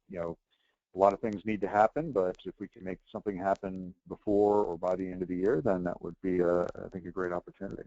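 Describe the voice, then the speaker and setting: Male call presenter, very low register, hesitant delivery, informal, dull phone-conference audio. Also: darkish tone, dry recording. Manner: hesitant, halting.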